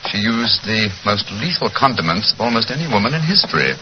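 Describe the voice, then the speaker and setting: Urbane English detective, swooping theatrical delivery. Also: male voice, fairly low pitch, big pitch swings, fairly quick. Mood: wry, intrigued. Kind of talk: 1940s radio drama acting. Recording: noisy, muffled 1940s radio recording.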